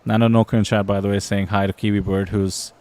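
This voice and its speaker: Low-voiced male poker commentator. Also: flat delivery, fast, mellow. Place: commentary booth.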